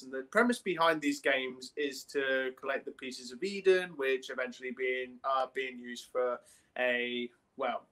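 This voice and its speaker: A man's voice: medium pitch, fairly bright tone. A young man co-hosting a casual podcast, explaining things in detail like an enthusiastic fan. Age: young adult. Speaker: podcast co-host. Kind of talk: casual discussion of games and films. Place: small room at home, on a video call.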